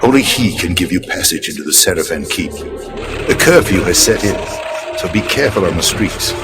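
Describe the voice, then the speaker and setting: An actor voicing a grave elder vampire guide in a video game, giving counsel in a solemn, measured manner. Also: male voice, deep voice, lively intonation. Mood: grave, advising. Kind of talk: theatrical video game voice acting. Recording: loud music behind voice.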